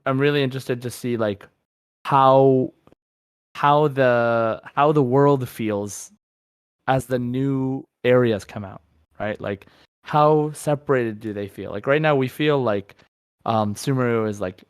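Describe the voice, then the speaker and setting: A man co-hosting a podcast, chatting casually about a video game in a halting, repetitive way as he searches for words. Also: mid-range voice, clear, resonant tone, dry recording, studio quality. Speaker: podcast co-host.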